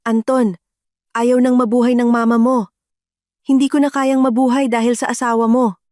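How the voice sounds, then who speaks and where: A melodramatic older mother-in-law, acted out by a woman narrator in an audio drama. Her voice is pushed high and loud as she wails with self-pity. The sound is dry. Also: flat delivery, moderate pace, dry recording, natural voice. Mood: hysterical, wailing. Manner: wailing, self-pitying outbursts.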